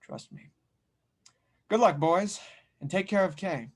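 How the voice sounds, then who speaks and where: A man playing a kindly, wise blacksmith monk in an audio drama read, calm and encouraging. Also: sits high, takes it slowly, pitched above the performer's own voice. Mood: gentle, encouraging.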